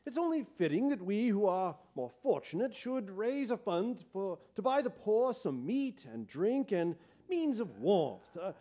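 Casting: courteous male charity collector played by a man, high animated voice, earnest and stammering, stage radio-drama delivery. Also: measured pace, hardly any pauses. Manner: hesitant, courteous, stammering politely. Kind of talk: live staged reading of 1930s radio drama. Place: theatre stage, live performance. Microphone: boom-stand stage microphone.

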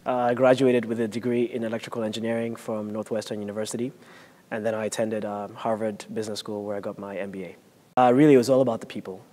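A young Nigerian-born man talks quickly in a flat, low voice, earnest and full of small fillers. The sound rings with a long room reverb over a faint rumble. He is filmed standing in a large formal room. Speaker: MBA student. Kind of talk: testimonial to camera. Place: large elegant room indoors. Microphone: hidden mic, camera at mid distance.

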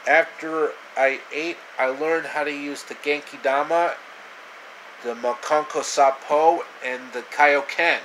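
A man in his thirties narrating a homemade story with halting delivery, recorded at arm's length in a small room. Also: on the high side, steady tone, a bit bright, slight background noise, rough recording. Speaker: storyteller, video creator. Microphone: phone or webcam at arm's length.

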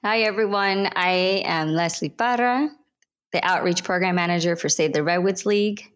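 A woman's voice: fairly low pitch, moderate pace, smooth. A woman from Ecuador who grew up in North Carolina, hosting a podcast with introductions and conversation. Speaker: podcast host.

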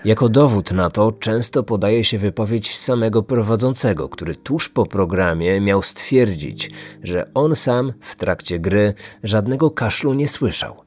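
A man's voice: fairly low pitch, unhurried, hardly any pauses, soft background music. A man reading scripted narration as the host of a true crime podcast.

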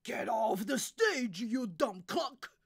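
Rude storybook audience heckler played by a woman, loud, mocking, high-pitched with wide swings. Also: wildly swooping pitch, slow pace, full character voice. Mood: angry jeering.

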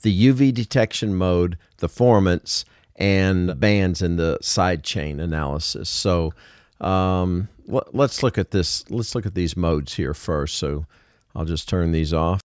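A man's voice: deep voice, unhurried, a little mellow, no room sound, studio quality. A man narrating a casual music production tutorial, explaining things informally step by step.

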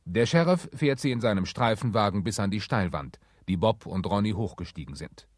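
A man's voice: lowish voice, expressive, fairly quick, dry recording, studio quality. A man narrating an adventure radio play as its scripted storyteller. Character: storyteller of an adventure audio drama.

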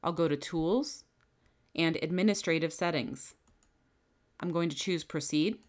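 A woman with a somewhat low voice narrates a scripted software tutorial as the trainer. She walks through each setting in a calm, methodical way, one click at a time. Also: unhurried. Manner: calm, methodical step-by-step instructions. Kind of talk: scripted screen-recording tutorial. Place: voice-over over a screen recording.

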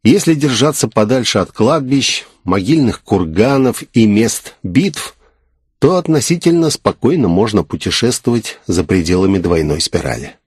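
A man's voice: on the low side, expressive, moderate pace, darkish tone, husky, no echo, studio quality. A man reading a scripted first-person fantasy story as an audiobook narrator.

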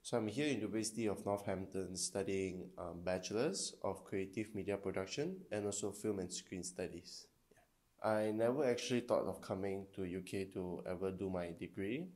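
A man's voice: low-pitched, normal speed, darkish tone. A man in his twenties from Malaysia, a student, speaking in a friendly, slightly hesitant documentary interview. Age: 20s. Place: university room, indoors.

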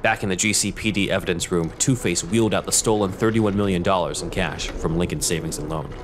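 A man's voice: low-pitched, fairly quick, background noise. A man narrating a scripted audio drama.

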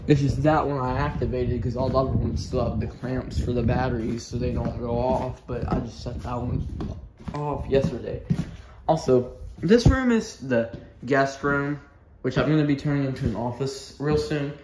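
A man's voice: medium pitch, moderate pace. A young man giving an offhand, rambling house tour in casual vlog style, talking into a handheld phone. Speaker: vlogger. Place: walking through a house. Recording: noisy handheld phone recording with clicks.

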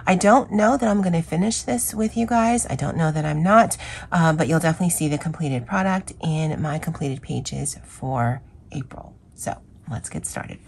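A hoarse woman with a scratchy voice hosting a chatty, rambling coloring vlog. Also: sits low, normal speed, a little mellow. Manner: chatty, rambling, with fillers and self-corrections. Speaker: craft channel host. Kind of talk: casual coloring vlog chat.

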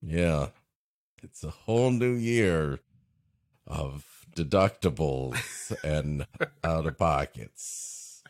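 A man's voice: a bass voice, animated, drawn-out words, a little mellow, no echo, studio quality. A man co-hosting a comedy podcast with joking, riffing banter. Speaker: podcast co-host.

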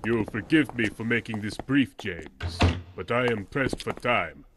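A man's voice: fairly low pitch, animated, measured pace, background noise. An actor in an audio drama playing a formal, courtly businessman who is politely menacing and evasive. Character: smooth-talking, menacing male corporate client representative.

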